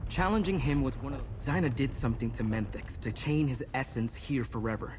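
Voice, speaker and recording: A purposeful male sorcerer, acted by a man in a fantasy game, mid-pitched and commanding. He explains and directs in a measured, strategic manner. Faint ambient noise sits behind the voice. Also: natural voice. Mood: grave, explanatory. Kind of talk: fantasy video game dialogue acting.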